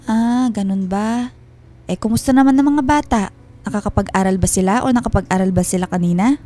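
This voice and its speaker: An actress playing an affectionate, homesick and quietly suspicious wife on a call in a radio drama, with a Filipino accent. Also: female voice, medium pitch, fairly quick, close to an everyday voice. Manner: loving, probing, wistful. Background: music.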